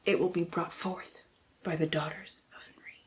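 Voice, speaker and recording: A woman's voice: on the low side, fairly even intonation, moderate pace, whispered. A woman book vlogger who mixes casual, slangy chat with mock-dramatic blurb reading and playful, sweary asides to herself.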